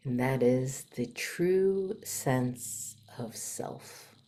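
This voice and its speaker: A woman leading a guided meditation in a soft, gentle manner, with long pauses and some breathiness. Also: extremely low pitch.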